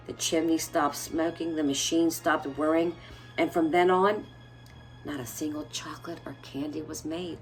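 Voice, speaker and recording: A woman's voice: sits low, poor audio. A woman voicing an old grandfather who tells a mysterious tale in a dramatic, suspenseful storybook manner.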